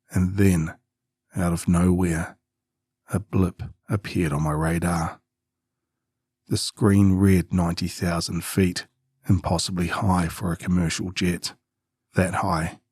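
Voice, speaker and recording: A man with a very deep, husky voice narrates a scary first-person story. He keeps a grave, measured delivery with little swing in pitch, building suspense in a dry, close sound. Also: a little mellow, no echo.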